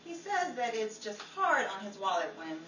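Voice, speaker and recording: Man playing helpful, easygoing pal for retro radio comedy; animated pitch, noisy recording. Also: normal speed, far from the mic, full character voice. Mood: amiable, wry. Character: easygoing, helpful friend in a 1950s Hollywood circle. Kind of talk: old-time radio comedy acting. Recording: echoey, noisy, off-mic with crowd sound.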